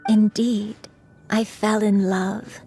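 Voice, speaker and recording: A woman's voice: mid-range voice, little pitch movement, warm tone, soft background music. An actress playing a remorseful grandmother, once a crane, telling her story in a reflective, formal way in a fantasy game. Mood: wistful, remorseful. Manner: reflective, formal, measured confession. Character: crane turned human grandmother, wistful, remorseful. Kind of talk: scripted dramatic game storytelling.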